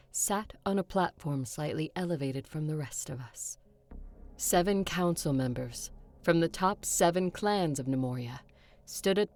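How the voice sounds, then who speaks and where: A woman playing a solemn, determined, centuries-old vampire leader in an audio drama, measured and earnest. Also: deep voice, darkish tone. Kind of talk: dramatic acting with first-person narration.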